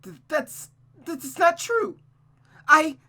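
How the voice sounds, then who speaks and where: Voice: sits high, animated, takes it slowly, sharp tone, voice pushed up, heavily acted. A performer voicing a frightened young daughter who stammers and pleads in a panicked way in a visual novel. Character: frightened, pleading young daughter under her mother's sway.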